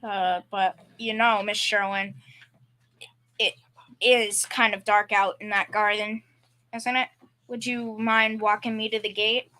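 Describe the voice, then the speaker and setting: Woman playing bright-voiced folksy family man. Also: mid-range voice, flat delivery. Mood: sheepish, nervous. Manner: folksy, halting, repeats words. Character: good-natured, plain-spoken middle-aged family man, a bit timid. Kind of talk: old-time radio comedy-drama acting, amateur school performance.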